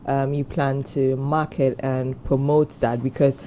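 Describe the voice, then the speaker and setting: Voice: mid-range voice, flat delivery, unhurried, dark tone, slight background noise. A co-host on a studio talk show asking curious, hesitant questions.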